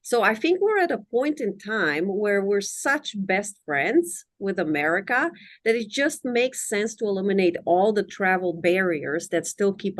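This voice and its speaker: Articulate woman from Romania. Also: medium pitch. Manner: measured, articulate, formal phrasing. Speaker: immigration attorney and guest. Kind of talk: interview answers.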